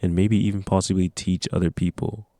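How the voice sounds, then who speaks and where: A man's voice: deep voice, fairly even intonation, brisk, warm tone, no echo, clean studio sound. A nineteen-year-old man talking casually to camera, giving earnest, rambling motivational advice. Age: young adult. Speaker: vlogger.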